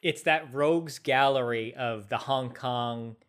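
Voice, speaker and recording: A man with a medium-pitched voice talks about films. His delivery is loose and informal, like a casual podcast conversation. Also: unhurried, a bit bright, smooth. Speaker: film discussion podcast speaker.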